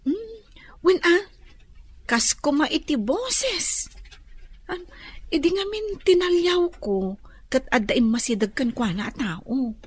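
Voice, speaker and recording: A woman's voice: sits high, animated, slow, long pauses. A woman with an Ilocano Filipino accent playing a curious, chatty young friend in a radio drama. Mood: puzzled, confiding.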